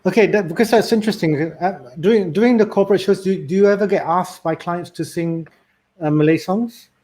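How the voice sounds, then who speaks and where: A man's voice: high-pitched, normal speed, darkish tone. Hesitant man hosting a chat. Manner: hesitant, repeats words, curious. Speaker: host. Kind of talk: casual interview chat.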